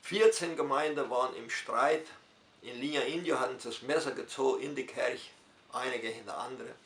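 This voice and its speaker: Gravelly older man, Hunsrückisch German. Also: mid-range voice, fairly bright tone, roomy sound. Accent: Hunsrückisch German of southern Brazil. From southern Brazil. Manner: unhurried storytelling with dialect forms and hesitations. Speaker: retired pastor interviewee. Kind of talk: personal life story in interview.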